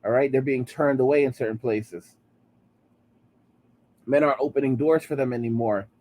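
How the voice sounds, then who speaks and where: A man's voice: mid-range voice, normal speed, a little mellow. A man in his late thirties hosting a commentary channel, ranting heatedly and repeating "all right?" between points. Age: 30s.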